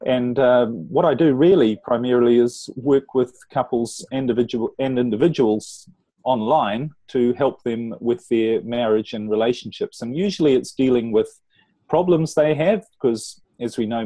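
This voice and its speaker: A middle-aged man with a New Zealand accent, a relationship coach telling his life story as a podcast guest in an earnest, measured way with some restarts. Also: mid-range voice, mellow, no room sound.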